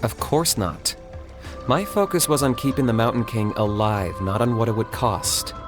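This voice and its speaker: An actor voicing a calm, reserved young warrior in a video game, speaking in a measured, dry way. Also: male voice, lowish voice, natural voice. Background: game music.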